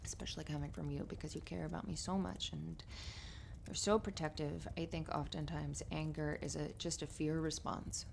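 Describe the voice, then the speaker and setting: A woman acting as a loving, reassuring writer girlfriend in an intimate ASMR roleplay. Her voice sits fairly low with plenty of vocal fry, soft and giggly, slipping into breathy murmurs. A faint fireplace crackle runs behind her. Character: affectionate, playful, reassuring successful writer girlfriend. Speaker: audio roleplay performer. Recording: noisy fireplace ambience behind voice.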